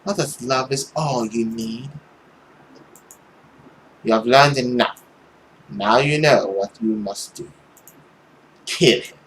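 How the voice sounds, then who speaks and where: A man voicing a stern, possessive middle-aged mother in a dramatic, deliberate, scolding manner. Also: medium pitch, fairly bright tone, a little hiss or hum, voice pushed down, low-quality recording. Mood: cold, commanding.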